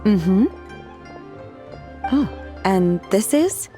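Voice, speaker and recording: A woman voicing a calm, earnest, blunt young disciple in a video game, polite and matter-of-fact. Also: mid-range voice, very slow. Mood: composed, polite. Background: game music.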